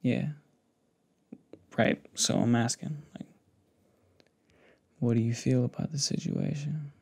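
A young man speaking softly and hesitantly close to the mic in a casual, intimate ASMR roleplay. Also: medium pitch, steady tone. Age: young adult. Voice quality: gravelly, breathy. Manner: hesitant, halting, soft-spoken. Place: indoors, small home setup with fabric backdrop. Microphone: desktop condenser mic with pop filter, close up.

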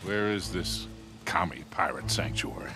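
A man's voice: low voice, a little slow, music in the background. A man playing a brash, skeptical, money-minded treasure-hunting partner in a cinematic video game. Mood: skeptical, wry. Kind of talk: naturalistic cinematic game acting.

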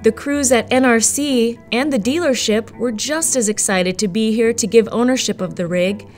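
A woman's voice: on the high side, moderate pace, a little mellow, soft background music. A woman host giving an upbeat, scripted promotional voice-over. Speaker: show host and narrator.

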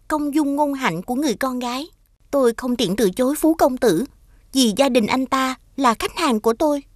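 High, demure young shopkeeper. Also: female voice, no echo, clean studio sound. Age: young adult. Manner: polite, demure. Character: modest, well-mannered young female shopkeeper. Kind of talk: animated fairy-tale dubbing.

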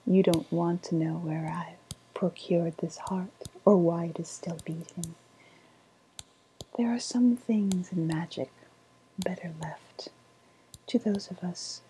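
A woman playing a sly woodland witch in a softly spoken ASMR roleplay, half-whispering in a deliberate, sweetly ominous manner. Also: lowish voice, fairly even intonation, slight background noise, poor audio. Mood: ominous warning. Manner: slow, deliberate, hushed, ominous.

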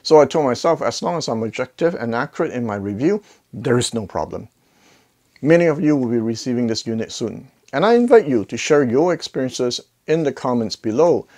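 A man's voice: mid-range voice, lively intonation, a little fast, darkish tone. A middle-aged man hosting a casual gear review to camera, conversational with jokey asides and rhetorical questions. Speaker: audio gear reviewer, video host. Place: indoor home listening room. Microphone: clip-on lapel mic.

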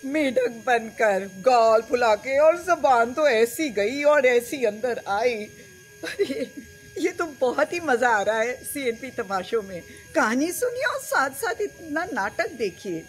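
Enthusiastic middle-aged woman presenter outdoors; lively, slightly high. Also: takes it slowly, faint music behind. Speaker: host. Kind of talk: animated show presenting. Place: outdoors by greenery.

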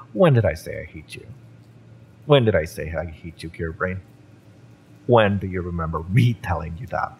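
A man playing a fiery, wounded mercenary in a romance audio roleplay, bitter but lovesick, speaking emotionally and haltingly with sighs and drops into whispers. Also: low-pitched, lively intonation, vocal fry, slight background noise, full character voice. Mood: wounded, insistent.

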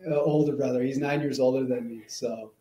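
A man's voice: medium pitch, fairly even intonation, mellow. Friendly man in an echoey room. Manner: friendly, halting with fillers. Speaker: guest, chiropractor. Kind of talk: casual interview chat. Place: plain room, indoors. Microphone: video call.

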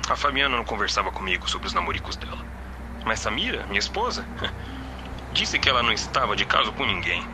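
Man acting grieving Brazilian father, expressive swings. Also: lowish voice, a little fast, background noise. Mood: subdued, recalling. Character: grieving, bitter father of a murdered daughter. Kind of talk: audio drama acting.

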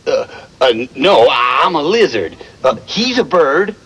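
A man's voice: on the high side, big pitch swings, takes it slowly, a bit bright, breathy edge, exaggerated voice, rough recording. A man voicing a shy, good-natured male lizard who stammers and hesitates. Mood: timid.

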